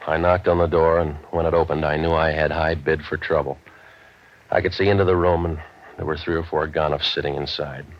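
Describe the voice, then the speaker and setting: A low-voiced, hardboiled private eye from an old radio drama. He delivers wisecracks and noir narration with a cynical deadpan. The recording is dull and dated. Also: brisk. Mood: wry, deadpan. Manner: deadpan, cynical, tough-talking. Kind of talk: 1940s radio detective acting and noir narration.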